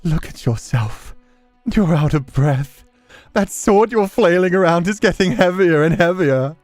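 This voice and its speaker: Man playing sneering, arrogant villain nobleman, high-pitched taunts. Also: lively intonation, measured pace, no room sound, soft background music. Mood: mocking. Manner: taunting, theatrical, contemptuous.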